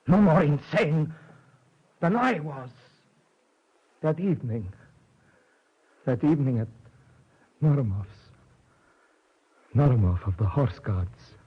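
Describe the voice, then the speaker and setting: An actor playing a haunted, obsessed young officer in a 1940s radio drama, with a husky, brooding voice. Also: male voice, mid-range voice. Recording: lo-fi old radio broadcast.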